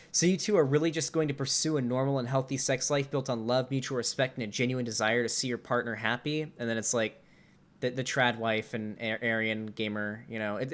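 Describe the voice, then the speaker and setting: A man in his twenties streaming live, talking casually and reacting with exasperated outbursts and swearing as he comments on memes. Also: mid-range voice. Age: 20s. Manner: swearing, animated and exasperated, with laughs.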